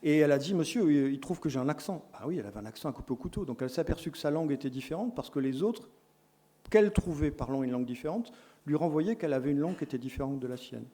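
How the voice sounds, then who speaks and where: A middle-aged man lecturing teacher tutors, explaining points with lively emphasis and quick self-corrections. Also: on the high side. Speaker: lecturer in education sciences.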